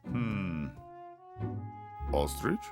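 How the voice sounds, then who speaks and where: A man voicing an authoritative lion king, stern but kindly, in a children's animated cartoon. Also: deep voice, full character voice. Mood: regal, questioning. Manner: regal, measured. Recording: music underneath.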